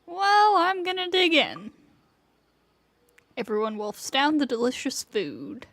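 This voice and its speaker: A woman's voice: sits high, big pitch swings, slow pace, a bit bright, dry recording. A woman casually commenting on a game and reading text aloud as a livestreamer, close on the mic. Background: game music.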